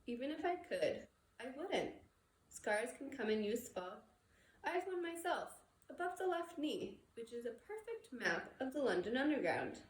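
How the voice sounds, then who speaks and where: A woman voicing a wise, calm old male wizard professor, measured, formal and gently whimsical, in a storybook reading. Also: high voice, pitched above the performer's own voice. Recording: echoey room with background noise.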